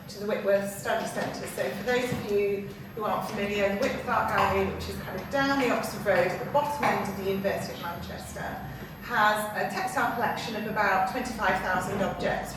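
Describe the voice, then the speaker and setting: A woman from a museum's collections side explaining its history at a gallery talk, with frequent hesitations. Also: mid-range voice. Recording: distant echoey mic with noise.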